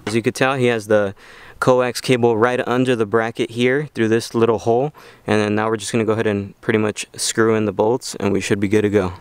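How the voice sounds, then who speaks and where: A man's voice: fairly low pitch, little pitch movement, a little fast, clean tone, no room sound. A man hosting an informal how-to video, explaining things step by step in a casual way. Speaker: video host.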